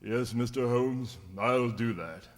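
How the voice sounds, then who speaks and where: A man's voice: mid-range voice, slow pace, close to an everyday voice. A man playing a proud, straightforward young husband in a radio drama, firm in manner. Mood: eager. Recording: reverberant hall sound.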